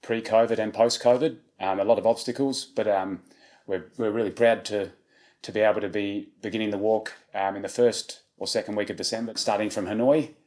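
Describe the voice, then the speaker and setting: An Australian man in his thirties talks about a charity project in a relaxed, easygoing way. His voice sits a little low, and he fills gaps with ums and small restarts. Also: fairly quick. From South Australia. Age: 30s. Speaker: charity walker. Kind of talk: casual interview answers.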